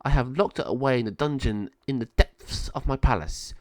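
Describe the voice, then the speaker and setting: A man's voice: medium pitch, moderate pace, no room sound, close to an everyday voice. Man playing a drawling, taunting villainous sorceress. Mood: smug, taunting. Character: villainous gloating sorceress sister, later giant raven.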